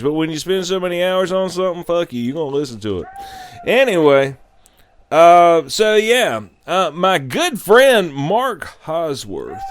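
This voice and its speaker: A man hosting a radio show with theatrical, exclamatory, joking delivery and drawn-out words. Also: mid-range voice, clear, resonant tone. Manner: casual swearing, joking. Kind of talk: hyped radio DJ banter and comedic ad reads. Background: music behind the voice.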